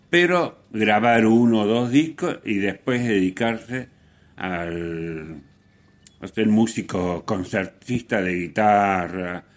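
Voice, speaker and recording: Argentine guy doing radio music chat, deep-ish voice, really slow, halting, repeats stuff for emphasis. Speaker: radio host. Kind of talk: casual radio talk about music.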